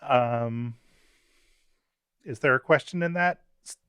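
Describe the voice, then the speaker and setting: A man's voice: mid-range voice, slow pace, fairly bright tone, clean tone, no room sound, clean studio sound. A man hosting an interview, asking questions in a hesitant, meandering, conversational way. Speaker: interviewer, host.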